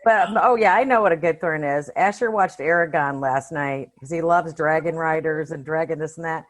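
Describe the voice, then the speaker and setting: A woman's voice: low voice, normal speed. A woman co-hosting a podcast, chatting casually in a blunt, informal way. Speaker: podcast co-host. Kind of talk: casual chat.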